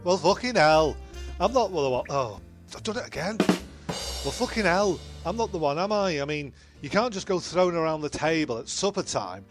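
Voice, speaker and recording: Sweary comic castaway, acted by a man: flustered bluster, fairly high voice, faint music behind. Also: expressive, moderate pace, lightly acted. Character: blustering, sweary bloke among shipwrecked lads. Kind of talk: comic audio story.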